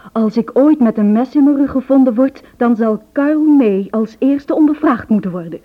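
A woman's voice: a little high, normal speed, lightly acted. An actress playing a nervous, secretive young married woman who confides in a hesitant, earnest way in a radio drama. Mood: grave, urgent. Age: 20s. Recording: muffled old radio play recording.

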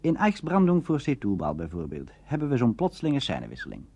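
A man presenting a documentary on radio drama as scripted narration, formal and expository, with a husky voice. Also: mid-range voice, huge pitch swings, fairly quick, warm tone.

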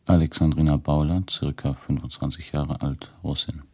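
An actor playing a sober, neutral investigator who records witness accounts in a clipped manner for a documentary radio drama. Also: male voice, very deep voice, level tone, normal speed, darkish tone, slightly rough, heavily acted. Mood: matter-of-fact. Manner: matter-of-fact, clipped, neutral.

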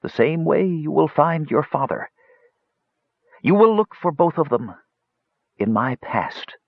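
A man playing a desperate, stubborn old wizard in an audiobook, speaking slowly and urgently in a strained, labored voice. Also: a little high, big pitch swings, no room sound, phone-quality sound, pitched above the performer's own voice, exaggerated voice. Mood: weary, solemn. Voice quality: strained, strangled.

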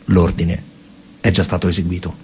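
Guy reading out as a cold stern military official, low gravelly voice, measured and formal, theatre piece. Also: flat delivery, slight background noise.

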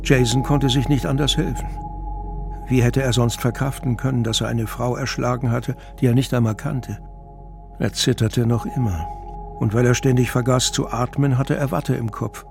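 Male narrator, mid-pitched, creaky gravelly tone, dramatic scripted storytelling, clear music bed. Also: fairly even intonation, normal speed.

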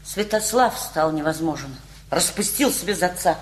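An actress playing a fretful, reproachful aunt in a radio play, with a nagging, exasperated manner. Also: female voice, fairly low pitch, expressive, normal speed, lightly acted. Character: fretful, reproachful aunt who raised her spoiled nephew.

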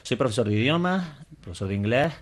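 A man's voice: medium pitch, expressive, fast. A Canarian man on a discussion panel argues his view with conviction. He is emphatic and opinionated, leaning on repeated words to drive his points home. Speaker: English teacher, panel guest.

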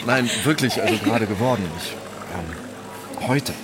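An actor playing an awkward, aimless man, speaking hesitantly and naively in naturalistic radio drama dialogue. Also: on the low side. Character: awkward, aimless man who has just become rich. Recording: music bed behind the voice.

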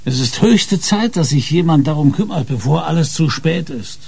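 An actor in a radio crime drama playing a brooding, stubborn police inspector with a husky, gravelly voice and weighty delivery. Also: male voice, on the high side, expressive, moderate pace, a little mellow, no echo, exaggerated voice.

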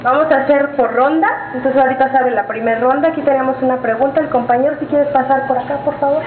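A woman's voice: high-pitched, fairly even intonation, fast, slight background noise. A woman moderating a question session, informal and inviting. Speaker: event moderator. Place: meeting hall with an audience. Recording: echoey room over a tinny lo-fi line.

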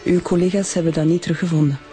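A woman's voice: fairly low pitch, fairly even intonation, racing, mellow, soft background music, lightly acted. A woman with a Flemish accent playing a guarded, prickly witness in a radio drama, curt and formal. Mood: prickly, pointed. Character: guarded, prickly girlfriend of a crime victim.

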